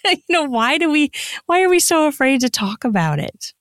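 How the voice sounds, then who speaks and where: A woman's voice: high-pitched, sing-song, normal speed, a bit bright, dry recording, studio quality. A woman speaking as a podcast guest in casual interview chat, effusive and emphatic in a conversational way. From United States. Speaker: podcast guest, erotica author.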